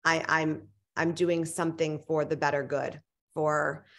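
A woman's voice: deep voice, level tone, unhurried, fairly bright tone. A young woman guest author on a video call from home, answering earnestly and often restarting her sentences as she searches for words. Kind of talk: casual interview answers. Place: home living room indoors. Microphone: video call webcam.

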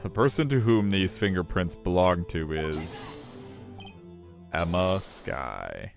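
A man voicing an earnest, determined young defense attorney in a courtroom video game, dramatic and deliberate. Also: low-pitched, lively intonation, creaky, over music, full character voice. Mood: determined, grave. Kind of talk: voiced visual novel playthrough.

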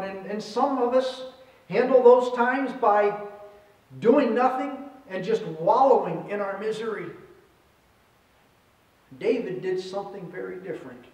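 A middle-aged man preaching a sermon as a pastor, with measured delivery in short phrases. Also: high voice, a little mellow. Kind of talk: sermon with scripture reading. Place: indoors at a church pulpit. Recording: roomy echo, distant microphone.

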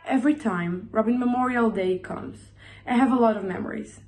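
Higher-voiced teenage female student. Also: normal speed, a little mellow. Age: teens. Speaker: high school student. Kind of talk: prepared personal reflection speech. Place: indoors in a bedroom.

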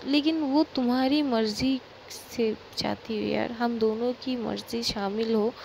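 Pleading, stammering young woman, voiced by a woman narrator, slightly raised pitch, faint hiss behind. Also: creaky, darkish tone, rough recording. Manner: stammering, begging, repeating words.

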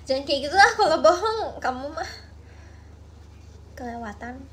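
Playful young woman, high voice. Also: lively intonation, moderate pace. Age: 20s. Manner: playful, musing, drifts into soft murmurs. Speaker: idol livestreamer. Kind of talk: casual late-night livestream chat. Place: small bedroom at night. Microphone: phone at arm's length.

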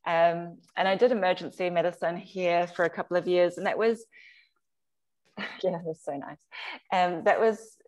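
A woman, a surgeon giving an informal slide talk over a video call in conversational, halting phrases. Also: lowish voice, steady tone, a little mellow. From Samoa. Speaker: surgeon, guest speaker. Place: video call, remote webinar.

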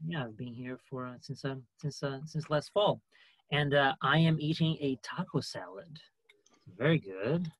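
A man's voice: medium pitch, normal speed, fairly bright tone, slight background noise. A man in his thirties from Brazil, chatting casually as a guest on a video call. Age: 30s. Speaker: theatre artistic director and guest. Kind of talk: casual chat. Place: room at home.

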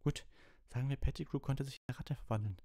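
A man's voice: mid-range voice, quick pace, mellow, dry recording, studio quality, voice pushed up, close to an everyday voice. A man voicing an incredulous, nervous teenage boy who protests, in an audiobook. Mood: sceptical.

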